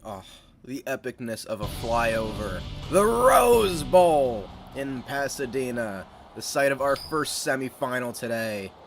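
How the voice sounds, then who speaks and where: A young American man hosts a relaxed gaming live stream, chatting with viewers and calling the action in an upbeat, talkative way. His voice sits at an ordinary middle pitch. The game's music plays clearly underneath him throughout. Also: a little slow. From United States. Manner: chatty, upbeat, greets chat and calls plays. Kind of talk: casual live-stream commentary. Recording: game music playing behind voice. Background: video game music.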